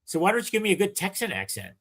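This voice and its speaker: A man's voice: high-pitched, wildly swooping pitch, rapid-fire, fairly bright tone, husky. A man hosting a podcast, chatting casually and asking his guest curious, joking questions. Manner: curious, asks questions, jokes. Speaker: podcast host. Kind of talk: casual interview chat.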